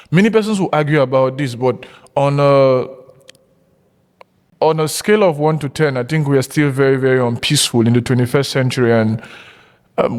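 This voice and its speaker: Man, podcast host, mid pitch, reflective questions, echoing hall. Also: a little mellow. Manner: reflective, repeats phrases for emphasis. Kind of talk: interview introduction and questions. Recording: hall reverb.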